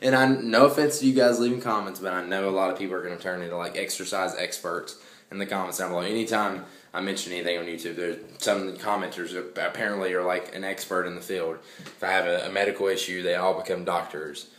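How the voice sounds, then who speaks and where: A man's voice: deep voice, steady tone, quick pace, a bit bright, reverberant. A young man vlogging from home, rambling casually with lots of fillers and restarts. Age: young adult. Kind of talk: casual vlog monologue. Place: small hallway at home at night.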